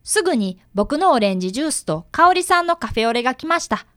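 Woman voicing an earnest, high-pitched little schoolboy detective. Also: very animated, fast, no room sound, studio quality. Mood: narrating. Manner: polite, precise, boyish. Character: earnest, bright fifth-grade boy, apprentice detective. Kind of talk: radio drama dialogue and first-person narration.

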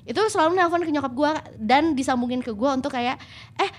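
A woman's voice: high-pitched, brisk, slight background noise. A woman, chatting casually as a podcast co-host in slangy speech mixed with English phrases. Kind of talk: casual nostalgic chat.

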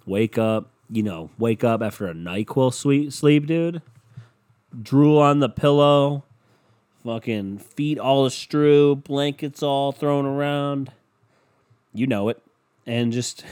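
Stuffy, sick young man ranting casually. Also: mid-range voice. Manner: loose, profane, rambling and jokey. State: sore throat, throat-clearing. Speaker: podcast host. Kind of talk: casual solo podcast rant.

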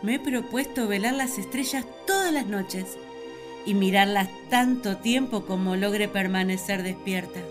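A woman's voice: medium pitch, measured pace, over music, natural voice. A woman playing Eve, an earnest, talkative young first woman, telling her story in a radio play. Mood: wistful. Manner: earnest, wistful, storytelling to the listener.

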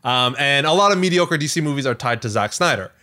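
Male podcast co-host, bright forward voice, lively swinging intonation, rambling and self-correcting, conversational. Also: mid-range voice, quick pace. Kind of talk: casual tech and entertainment chat.

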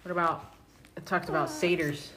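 A woman's voice: lowish voice, steady tone, normal speed, background noise. A woman in casual family conversation and scripture reading, with an informal, playful, slangy way of talking, recorded at home. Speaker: family podcast host reading scripture aloud and commenting.

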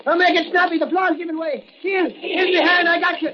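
Brief: high-voiced, excitable patrolman, loyal sidekick, old muffled radio sound. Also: a little fast. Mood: shouting. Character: burly excitable Irish beat cop, loyal partner. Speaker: police officer character. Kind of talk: 1940s radio acting. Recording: muffled old radio transcription with faint hiss.

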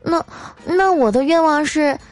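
A woman's voice: high-pitched, unhurried, pitched above the performer's own voice. A woman voicing a cheeky little boy in a comic story, earnest and whiny, blurting things out with childlike hesitations.

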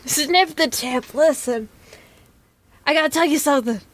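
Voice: sits high, a little slow, a bit bright. A performer playing a small, vain male action star, self-important and earnestly confiding, in improvised comedy.

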